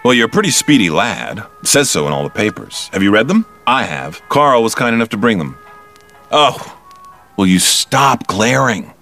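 An actor playing a smug, condescending criminal mastermind in an audio drama, with a suave, theatrical delivery that stretches out words. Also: male voice, lowish voice, big pitch swings, normal speed, bright, forceful tone, soft background music, heavily acted. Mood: smug, taunting.

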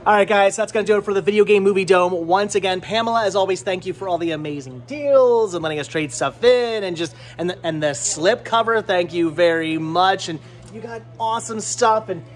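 High-pitched man vlogging, stammering. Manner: stammering, repeats words and phrases. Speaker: vlog host. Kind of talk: casual chat to camera. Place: small cluttered movie and game store. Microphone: handheld camera at arm's length.

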